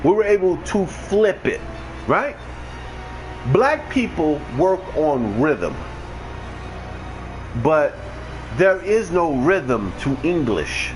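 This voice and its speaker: A man's voice: on the high side, very animated, takes it slowly, pauses often, music in the background, poor audio. A man giving a blunt, ranting, preachy monologue to his livestream viewers over a headset mic. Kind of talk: unscripted monologue.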